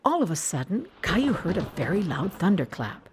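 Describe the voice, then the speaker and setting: A woman narrating a scripted children's storybook with expressive, clear phrasing. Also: lowish voice, normal speed, a little hiss or hum.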